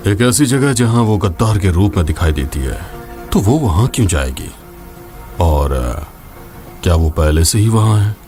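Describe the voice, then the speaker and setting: Deep, grave demon-hunting scholar. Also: male voice, expressive, a little mellow. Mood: grave, pondering. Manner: solemn, measured. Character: grave, wary male veteran demon hunter and scholar. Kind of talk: dramatic fantasy game dub. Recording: music behind the voice.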